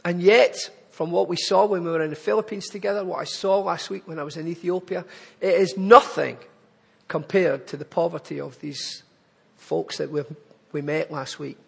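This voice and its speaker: A man's voice: a little high, fairly even intonation, moderate pace, vocal fry, fairly bright tone, breathy edge, long reverb tail. A man with a Glaswegian accent giving an earnest, pleading charity appeal in church. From Scotland. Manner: earnest, pleading, building to emphatic lists.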